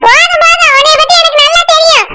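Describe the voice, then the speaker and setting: A woman voicing a haughty, scolding middle-aged mother-in-law in a shrill, contemptuous manner. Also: steady tone, quick pace. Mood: scornful. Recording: loud background noise.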